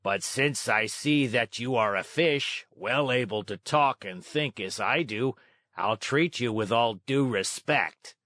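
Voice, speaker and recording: A man acts out an ugly green monster of a fisherman from a children's storybook. He is greedy and pompously polite, lingering slowly over his words in a dry, close recording. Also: medium pitch, pushed and bright, dry recording. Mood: mock courteous. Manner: slow, savoring, pompous. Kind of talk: children's storybook read-aloud.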